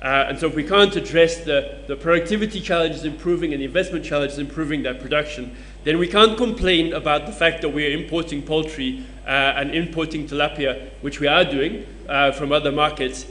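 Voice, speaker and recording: A man's voice: fairly high pitch, a bit bright, slight background noise. A man on a conference panel, explaining his points through case studies. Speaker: panelist presenting research.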